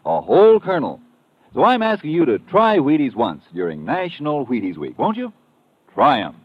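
A man's voice: a little high, huge pitch swings, moderate pace, background noise. An actor playing a folksy, contented American family man in an old-time radio commercial, chatting to the listener conversationally. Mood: folksy, persuasive. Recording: dull, dry vintage radio recording.

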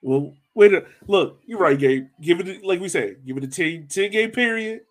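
A man's voice: sits high, lively intonation, normal speed. Casual man talking sports. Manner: informal, conversational. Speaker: podcast host.

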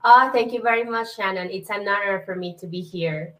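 A woman's voice: mid-range voice, fairly quick, fairly bright tone, low-quality recording. A woman from Venezuela with a Venezuelan Spanish accent, a native Spanish speaker, answering politely and hesitantly as a podcast guest. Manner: polite, hesitant with many fillers. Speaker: guest, virtual assistant. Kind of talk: podcast interview.

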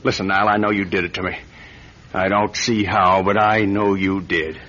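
An actor playing a huge big-time gambler in an old-time radio drama, with a husky voice and a shady manner. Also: male voice, low-pitched, steady tone, moderate pace, pushed and bright. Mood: sore, suspicious. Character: huge, smug big-time gambler who turns sore loser. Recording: dull lo-fi radio show, faint music.

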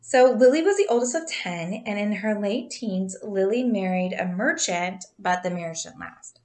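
A woman's voice: mid-range voice, lively intonation, normal speed, a little mellow. A woman in her thirties co-hosting a podcast, telling a true crime story in a casual, chatty way, laughing often and stumbling over names.

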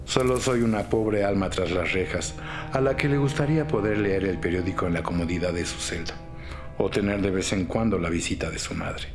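A man playing a smug, playful imprisoned crime boss in an audio drama, mockingly polite and unhurried. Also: deep voice, lightly acted. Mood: mocking, coy. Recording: music bed under the voice.

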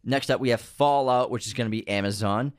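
A man's voice: mid-range voice, brisk, smooth, no echo, studio quality. A man co-hosting a podcast, casually running through upcoming video game adaptations and correcting himself with good humor. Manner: lists upcoming projects, self-correcting, playful. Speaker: podcast co-host. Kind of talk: casual movie news chat.

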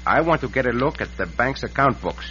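An actor playing a calm, gallant Mexican adventurer in a radio western, with a courteous, commanding, deliberate delivery and a Mexican accent. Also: male voice, medium pitch. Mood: resolute. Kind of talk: 1950s radio western acting. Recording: muffled, noisy, reverberant old radio recording.

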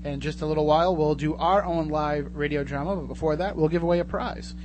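A man's voice: fairly high pitch, steady tone, brisk, background noise. A man hosting a live radio talk show, chatting and steering the program.

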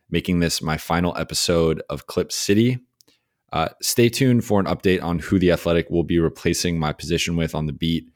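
A young man with a very deep voice hosting his podcast alone, speaking sincerely in a halting way with many fillers and restarts. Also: dry recording, clean studio sound. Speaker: podcast host, sports beat writer. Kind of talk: solo farewell podcast message.

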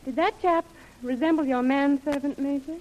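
A woman's voice: high voice, normal speed, a little mellow, dull audio, a little hiss or hum, lightly acted. An actress playing a plucky, frank woman companion to an adventurer in old-time radio drama. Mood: curious.

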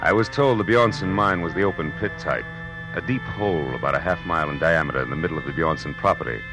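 An American actor playing a hard-boiled, world-weary private detective in a 1940s radio drama, wry and deadpan in his delivery. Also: low voice, fast, close to an everyday voice, rough recording. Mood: wry narrating. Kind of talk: 1940s radio detective acting, first-person narration. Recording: old radio recording with music.

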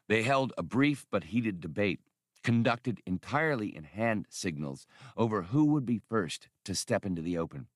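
Male narrator, fairly deep, reading science fiction adventure prose, close dry sound with no room. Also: dry recording. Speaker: audiobook narrator.

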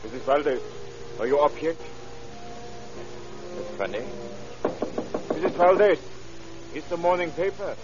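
A man's voice: a little high, animated, a little slow, pauses often, over music. Worried paper delivery guy, swoopy voice, muffled old radio show. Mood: worried, alarmed. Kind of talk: old-time radio drama acting. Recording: dull old radio recording.

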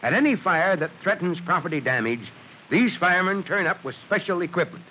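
An actor playing a gruff but kindly middle-aged fire chief in a 1940s radio drama, speaking emphatically. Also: male voice, high-pitched, lively intonation, fairly quick. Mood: instructive. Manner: emphatic, oratorical. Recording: noisy, dull vintage radio recording.

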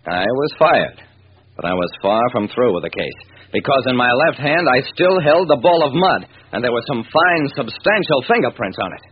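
An actor playing a wry, hard-boiled private detective narrating an old-time radio drama, on a tinny, noisy recording. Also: male voice, medium pitch, very animated, normal speed, natural voice.

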